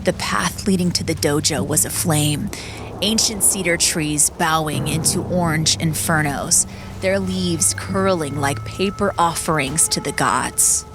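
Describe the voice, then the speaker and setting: A woman with a lowish voice narrates a dramatic story very slowly. Her delivery is solemn and scripted, with music playing underneath. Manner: measured, solemn.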